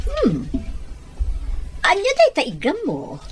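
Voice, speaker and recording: A woman acting a protective, sharp-tongued, doubting mother in an Ilocano audio drama, with a husky voice. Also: high voice, huge pitch swings, takes it slowly, faint music behind, exaggerated voice. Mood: skeptical.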